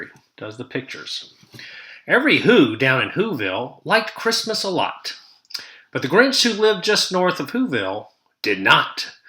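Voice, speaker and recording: A man's voice: mid-range voice, bright, forceful tone. A man reading a children's story aloud like a teacher, theatrical and emphatic. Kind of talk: expressive storybook read-aloud.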